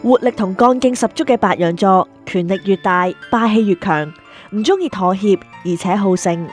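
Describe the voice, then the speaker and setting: Female narrator, medium pitch, Hong Kong Cantonese accent, scripted radio intro, music underneath. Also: moderate pace.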